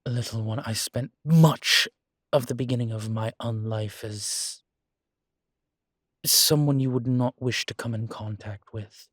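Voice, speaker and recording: A man playing a guilt-ridden, centuries-old vampire in an audio drama, speaking gravely and formally with weary, deliberate pauses. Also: fairly low pitch, a bit bright, no echo, clean studio sound, exaggerated voice. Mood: weary, guilty. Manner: slow, grave, deliberate phrasing with long pauses. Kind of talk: dramatic audio roleplay acting.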